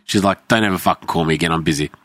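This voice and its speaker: A man's voice: sits low, racing, fairly bright tone, dry recording. A man, telling rambling, swearing anecdotes in casual chat and acting out what people said.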